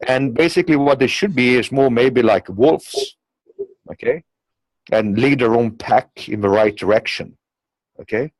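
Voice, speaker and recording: A man with a non-native English accent speaks at a medium pitch in a very dry recording. He delivers a blunt, emphatic monologue in the manner of a political commentator. His phrasing rambles and circles back, punctuated by small tags like okay and you know. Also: measured pace, dry recording, poor audio. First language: not English.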